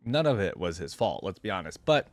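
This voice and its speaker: Low-voiced man, dry close recording. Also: big pitch swings, fairly quick, no room sound, faint music behind. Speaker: reaction video host. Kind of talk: reading lore aloud with casual off-the-cuff commentary.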